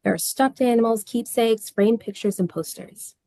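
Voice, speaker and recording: A woman's voice: fairly high pitch, moderate pace, gravelly, dark tone, no echo, studio quality. A woman reading stage directions as scripted narration at a table read.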